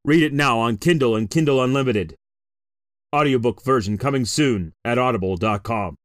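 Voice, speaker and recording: A man's voice: medium pitch, normal speed, no room sound, studio quality. A man reading scripted promotional narration as a trailer announcer.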